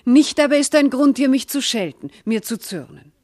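An actress playing a proud, loving noble wife, wounded and indignant, speaking formal, impassioned verse in a classical radio drama. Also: female voice, high voice, expressive, normal speed, a little mellow, no room sound, studio quality. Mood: passionate, reproachful.

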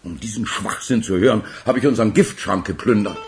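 An actor playing a sarcastic, menacing interrogator in a radio crime drama, mocking and needling. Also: male voice, lowish voice, fairly quick, natural voice.

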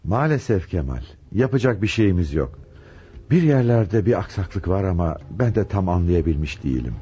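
An actor playing a measured, authoritative and resigned chief police inspector in a radio drama. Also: male voice, deep voice, very animated, warm tone, husky, exaggerated voice. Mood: grave, resigned. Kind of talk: Turkish radio drama acting. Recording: muffled, slightly noisy radio drama recording.

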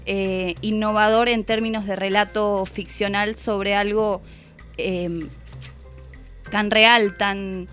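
Radio book columnist: woman, Argentine accent, mid-pitched, hesitant and thoughtful conversational delivery, music bed underneath. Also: little pitch movement, takes it slowly, a bit bright. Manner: reflective, hesitant, rephrasing as she goes. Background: background music.

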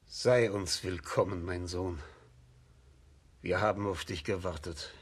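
Deep, slow old tsar. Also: male voice, steady tone, breathy edge, heavily acted. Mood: solemn, welcoming. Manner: solemn, stately. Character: old blind tsar, solemn, kindly, weary father. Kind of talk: children's radio drama acting.

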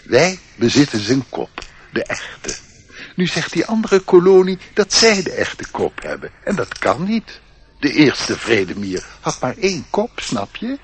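A man's voice: on the high side, wildly swooping pitch, unhurried, slight background noise, heavily acted. An actor playing a chatty, self-important old ant who repeats his words in a radio play. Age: older.

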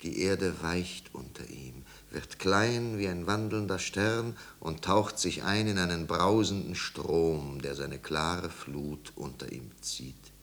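A man narrating a 1950s German radio drama as a solemn storyteller, with grave, measured and deliberate phrasing. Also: deep voice, vocal fry, a bit bright, full character voice. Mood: hushed, solemn.

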